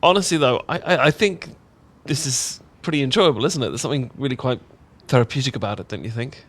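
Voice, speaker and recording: A man's voice: medium pitch, fast, lightly acted. A man with an English accent acting a bashful, affectionate young roommate in an intimate ASMR roleplay, chatty and stammering when flustered. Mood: flustered, awkward. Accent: British, English. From England. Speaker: audio roleplay voice actor.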